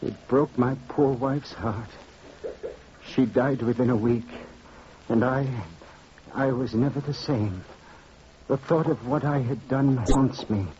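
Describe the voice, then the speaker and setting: An actor playing a grieving, guilt-haunted man in an old radio drama, halting and solemn as he confesses. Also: medium pitch, warm tone, lightly acted. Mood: anguished guilt. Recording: dull lo-fi old radio, faint noise.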